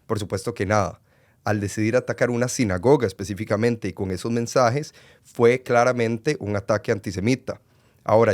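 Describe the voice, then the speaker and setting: A man in his twenties from Costa Rica, a podcast guest speaking earnestly with frequent pauses and fillers. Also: on the low side, darkish tone. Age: 20s. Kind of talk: conversational interview.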